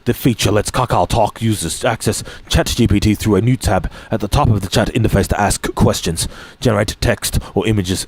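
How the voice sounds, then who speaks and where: A man with a somewhat low voice reads articles aloud for his stream. He stumbles, restarts phrases and clears his throat often. Now and then he drops a dry, offhand comment between quotes. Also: darkish tone, dry recording. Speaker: streamer reading news aloud. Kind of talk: reading articles aloud with asides.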